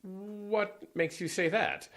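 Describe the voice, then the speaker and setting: A man's voice: high-pitched, steady tone, slow pace, fairly bright tone, full character voice. An actor playing a wary, probing man in an audio drama, terse and guarded.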